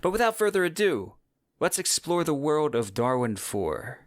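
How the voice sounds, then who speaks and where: A man's voice: on the high side, sing-song, moderate pace. A man hosting a podcast solo, talking casually with informal enthusiasm. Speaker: podcast host.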